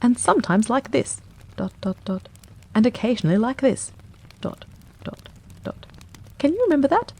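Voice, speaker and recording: A woman voicing a brisk, confident male detective in an audiobook reading, quick and emphatic. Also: on the high side, sing-song, a little mellow, voice pushed up. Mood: eager.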